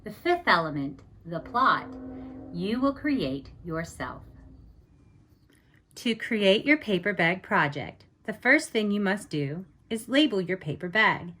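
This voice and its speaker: A woman teaching a lesson in a deliberate, step-by-step manner. Her pace is slow and careful, and her medium-pitched voice moves up and down in a lively way. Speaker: teacher presenting a writing activity. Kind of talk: instructional lesson.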